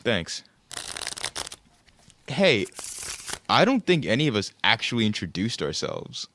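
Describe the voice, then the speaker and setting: A man's voice: fairly low pitch, very animated, a little slow, sharp tone, a little hiss or hum, exaggerated voice. A man playing a cocky, clueless young guy in an audio play, with a casual, self-justifying way of talking. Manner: casual, stammering when defensive.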